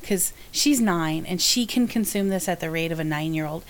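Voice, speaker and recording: A woman's voice: on the low side, moderate pace. A woman in a casual group conversation, talking thoughtfully at an uneven pace with some fillers. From United States. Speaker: podcast co-host who teaches spinning.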